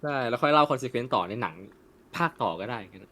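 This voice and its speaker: A young man in a casual chat, blunt and animated, swearing freely as he rants. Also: medium pitch, quick pace. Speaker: co-host. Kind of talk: casual chat about a film.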